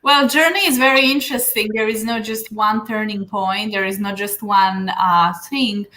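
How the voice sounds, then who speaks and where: A woman's voice: mid-range voice, steady tone, moderate pace, sharp tone. A woman from Serbia with a Serbian accent, a business coach giving earnest advice in an interview, with frequent fillers. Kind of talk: interview answers. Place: Dubai.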